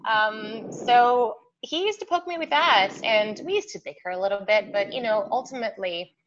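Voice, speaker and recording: A woman's voice: fairly high pitch, normal speed. A woman from Norway, a pianist guest, chatting casually about her life with lots of fillers. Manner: chatty, warm storytelling with frequent fillers. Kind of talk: casual interview chat. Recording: muffled remote line with faint hiss.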